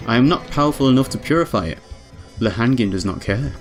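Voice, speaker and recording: A man's voice: medium pitch, expressive, fast, natural voice. A man voicing a proud young witch who narrates her tale in a grave, formal, ornate way. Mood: weary, grave. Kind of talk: dramatic visual novel narration. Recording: music underneath.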